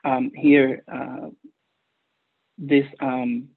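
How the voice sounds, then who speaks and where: A man's voice: mid-range voice, level tone, vocal fry, husky. Hesitant Cuban man on a tinny line. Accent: Cuban Spanish. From Cuba. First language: Spanish. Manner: hesitant, earnest, many pauses. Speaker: recovery meeting speaker. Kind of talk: personal testimony. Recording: tinny phone-line audio.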